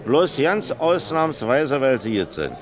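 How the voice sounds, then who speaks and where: An actor playing a gruff, curious pub landlord in a radio crime play. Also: male voice, medium pitch, big pitch swings, takes it slowly, a crowd in the background. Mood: gruff, coaxing.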